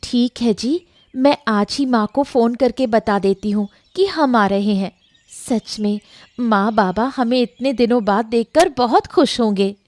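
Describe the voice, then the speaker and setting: A woman playing a gentle, dutiful young wife in an acted story, speaking softly and deferentially to her husband. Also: fairly high pitch, a little slow, dry recording, close to an everyday voice. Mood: pleased, dutiful. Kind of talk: acted Hindi audio story dialogue.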